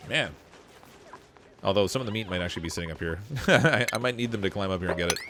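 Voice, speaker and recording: Chatty man with a cold-deepened voice. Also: expressive, fast, very noisy. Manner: chatty, laughs often, thinks aloud with restarts. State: voice lower from getting over a cold. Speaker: streamer playing a game, reading chat. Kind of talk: live gameplay commentary.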